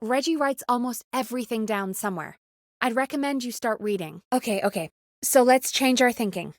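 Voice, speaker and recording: A woman's voice: on the high side, a little fast, dry recording, clean studio sound, close to an everyday voice. A woman acting as an earnest, anxious but capable young radio producer in a video game, talking in a natural, conversational way. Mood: helpful, businesslike.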